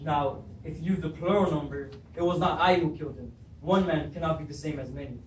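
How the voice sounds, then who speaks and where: A man's voice: a little high, brisk, close to an everyday voice. A young man acting a troubled, hot-tempered king in a readers theatre Greek tragedy, full of fear and guilt. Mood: anguished. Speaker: student actor. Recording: distant echoey room recording with background noise.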